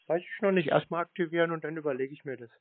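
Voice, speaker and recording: A man's voice: fairly high pitch, rapid-fire, no echo. A man bantering casually on a call, nonchalant, stubborn and teasing, as he strings a caller along. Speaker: prankster taking scam calls. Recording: narrow phone-like sound.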